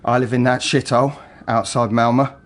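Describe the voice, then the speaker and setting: A male actor plays a broken, bitter working man in a naturalistic radio drama. His delivery is halting and hesitant, raw with feeling, at an ordinary male pitch. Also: level tone, a bit bright, natural voice. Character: embittered, broken working man confessing in a police interview.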